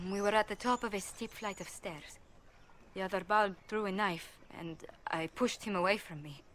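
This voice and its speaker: An actress playing a gentle, earnest noblewoman ambassador in a video game, speaking politely and formally with careful wording. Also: fairly low pitch, a little hiss or hum. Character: poised, courteous noblewoman ambassador, former bard, gentle and earnest. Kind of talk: video game cutscene acting.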